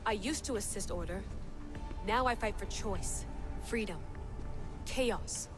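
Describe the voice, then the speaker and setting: An actress playing an earnest, determined modern researcher in a video game cutscene, with an intent way of speaking. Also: female voice, mid-range voice, slow pace, lightly acted. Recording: loud music under the dialogue.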